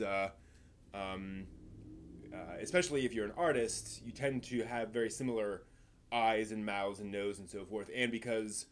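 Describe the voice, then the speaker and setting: A man with an American accent hosting a casual live stream, answering viewer questions in a halting, rambling, think-aloud way. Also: lowish voice. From East Coast of the United States.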